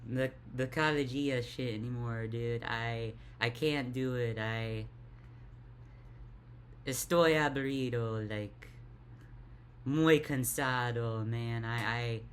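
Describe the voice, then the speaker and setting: A young woman with a deep voice talks in a tired, halting way, stuttering and repeating words in a casual confessional vlog. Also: a little hiss or hum. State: tired, worn out. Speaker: vlogger.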